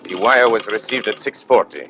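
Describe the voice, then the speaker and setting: An actor narrating a radio mystery as a grave storyteller, formal and measured. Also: male voice, lowish voice, lively intonation. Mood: grave, suspenseful.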